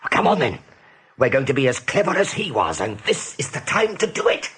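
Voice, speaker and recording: An actor playing a steady, kindly rabbit major in a radio play, measured and resolute. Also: male voice, mid-range voice, husky, heavily acted. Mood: urgent, rallying.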